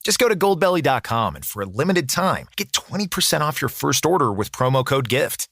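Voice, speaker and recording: Man, scripted ad read, raspy edge, lively intonation, dry sound. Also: mid-range voice, a little fast, dry recording. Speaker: ad reader.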